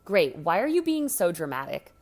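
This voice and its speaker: A woman voicing a sharp-tongued but caring teenager in a read-aloud story. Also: lowish voice, big pitch swings, moderate pace. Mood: annoyed.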